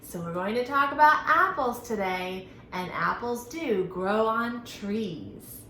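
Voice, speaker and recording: Bright-voiced woman teaching children at storytime. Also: on the high side, slow pace. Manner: animated, sing-song, instructional, addressing young children. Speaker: children's library storytime host. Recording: roomy echo, faint background noise.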